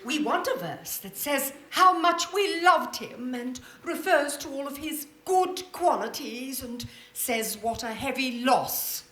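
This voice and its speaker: A woman's voice: high-pitched, lively intonation, takes it slowly, hardly any pauses, fairly bright tone, reverberant, full character voice. A woman playing a fussy, grasping middle-aged mother with prim, theatrical airs in a live radio play. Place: theatre stage before an audience.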